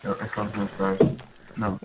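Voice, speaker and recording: A man's voice: lowish voice, monotone, a little slow, very noisy. A man playing an online game who talks to strangers, calls them bro, and complains that he can't understand them. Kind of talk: casual gaming chat.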